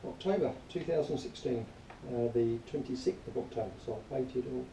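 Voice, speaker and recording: A man giving an informal spoken review in a rambling, matter-of-fact, grumbling way, partly reading aloud, recorded quietly on a camera some distance away. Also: medium pitch, a little fast. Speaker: product reviewer. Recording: distant quiet mic, roomy echo, faint noise.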